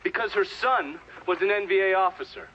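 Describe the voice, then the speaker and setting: High-strung, bitter American war veteran, played by a man. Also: fairly quick, highs cut off, slight background noise, natural voice. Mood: menacing, taunting. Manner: profane, confrontational, storytelling at length. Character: hard, bitter middle-aged American Vietnam veteran, defiant witness. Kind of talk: dramatic game cutscene monologue.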